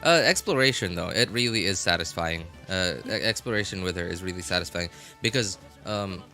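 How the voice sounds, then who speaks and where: A man with a fairly low but bright, forward voice talks casually on a game stream. He rephrases himself as he goes, in a conversational way. Music plays behind him. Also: lively intonation, brisk. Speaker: live streamer. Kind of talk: casual game stream chat, answering viewers.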